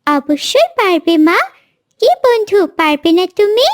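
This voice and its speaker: A sweet little girl bird from a cartoon. The voice is extremely high and childlike, recorded dry. She sounds eager, earnest and reassuring. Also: expressive, measured pace, no room sound.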